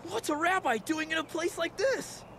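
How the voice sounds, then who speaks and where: A woman's voice: very high-pitched, normal speed. A woman voicing an earnest, bewildered young boy hero in an anime-style video game dub. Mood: startled, puzzled. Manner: exclamatory, youthful, bewildered.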